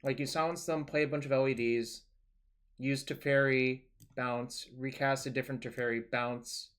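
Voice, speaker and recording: Young male streamer, relaxed, rambling, explains plays as he goes, mid-pitched voice. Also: normal speed, clear, resonant tone. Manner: relaxed, rambling, thinking aloud. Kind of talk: casual card-game stream commentary.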